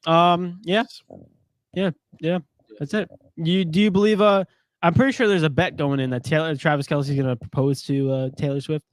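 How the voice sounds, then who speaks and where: Male podcast co-host, highish animated voice, close dry sound, rambling comic storyteller in casual sports talk. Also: normal speed, dry recording. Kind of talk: casual sports chat with joking banter.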